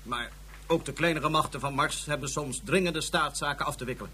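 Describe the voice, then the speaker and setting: A man's voice: fairly high pitch, brisk, very noisy, natural voice. A man playing a cold, ruthless Martian ruler in a science fiction radio drama, formal and commanding. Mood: suavely polite. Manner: formal, commanding, feigned humility.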